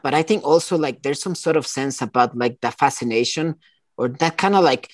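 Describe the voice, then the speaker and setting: A man, a guest talking in a relaxed, rambling way. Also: mid-range voice, a little fast, no room sound. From Costa Rica. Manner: relaxed, rambling, many fillers and restarts. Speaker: entrepreneur guest. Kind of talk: casual interview.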